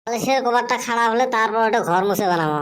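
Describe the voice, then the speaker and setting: A man voicing a cheeky, stubborn, thrifty young village lad in a cartoon comedy, with a pitch-raised voice and a northern Bengal and western Assam accent. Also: fast, a bit bright. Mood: stubborn, matter-of-fact. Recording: clear background noise.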